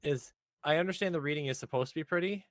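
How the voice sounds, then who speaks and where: A man's voice: fairly high pitch, fast, dry recording. A man in casual podcast chat, recorded dry with little room sound. He teases and exaggerates his points with a sarcastic edge. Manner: playfully sarcastic, admits embellishing. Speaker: podcast co-host. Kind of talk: casual chat reviewing anime.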